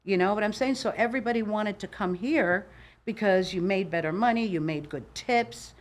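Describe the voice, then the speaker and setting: A woman's voice: mid-range voice, normal speed. An older woman from Cuba, a boisterous and opinionated guest telling stories in a casual podcast interview. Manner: boisterous, opinionated, animated storyteller. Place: podcast studio.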